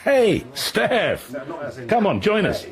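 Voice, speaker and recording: A man's voice: high voice, wildly swooping pitch, takes it slowly, exaggerated voice. A man playing a jovial, teasing radio colleague in a radio drama, bantering and mock-pompous. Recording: busy bar crowd behind.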